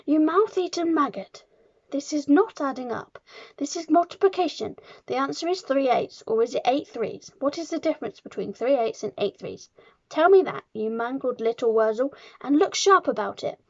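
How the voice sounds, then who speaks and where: A woman's voice: a little high, a little fast, vocal fry, no echo, dull audio. A woman voicing a tyrannical, explosively angry older headmistress in a children's storybook, barking and sneering as she stretches out her insults. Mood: furious, bellowing. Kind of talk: children's storybook character reading.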